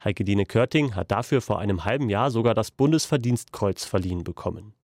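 A man's voice: lowish voice, expressive, brisk, a little mellow, no echo. A man presenting a radio programme with a scripted introduction, close to the mic. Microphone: close studio mic.

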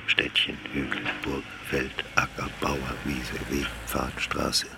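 A brooding, detached observer in a radio play, speaking in terse fragments. His voice is extremely deep and full of creak, over clearly audible noise. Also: exaggerated voice. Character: brooding man dictating sparse notes on landscapes. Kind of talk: radio drama monologue in fragments.

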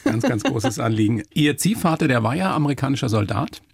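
Fast-talking gravelly male interviewer. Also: medium pitch, expressive, no room sound. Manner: chatty, quick leading questions. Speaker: radio interviewer. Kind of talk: interview questions.

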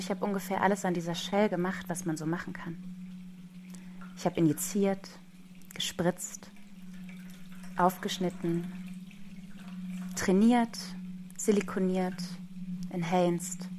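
A woman's voice: on the low side, fairly even intonation, measured pace, long pauses, noisy background. A woman in her forties giving a staged, playful performance, teasing and at times dropping to a whisper. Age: 40s.

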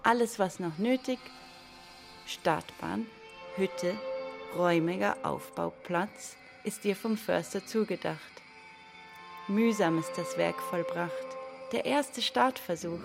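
A woman reciting poetic text in a measured, deliberate way for an audio biopic. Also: on the low side, gravelly. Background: instrumental music.

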